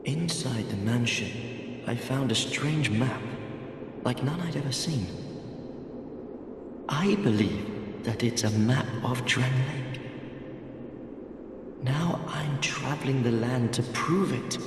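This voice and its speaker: A man voicing a gentle, absent-minded wandering mapmaker in a video game, courteous and hesitant, his thoughts drifting. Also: medium pitch, takes it slowly, pauses often. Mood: wistful, courteous. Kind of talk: video game character dialogue. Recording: echoey long reverb, clear background noise.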